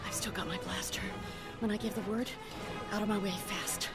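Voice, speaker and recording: An actress playing a bold, quick-witted rebel princess in a radio drama, urgent and commanding. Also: female voice, medium pitch, steady tone, close to an everyday voice. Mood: hushed plotting. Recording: loud music behind.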